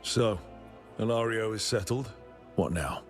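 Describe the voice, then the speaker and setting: An actor playing a calm, curious, gently teasing adventurer leader in a video game, with a conversational, questioning manner. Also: male voice, fairly low pitch, measured pace, faint music behind, lightly acted. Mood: lightly teasing.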